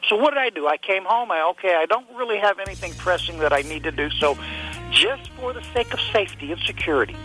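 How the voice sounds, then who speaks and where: High-voiced stuffy-nosed man caller. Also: lively intonation, fast, pushed and bright, soft background music, rough recording. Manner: indignant, rambling, repeats himself. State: stuffy nose, coughing. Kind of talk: call-in chat.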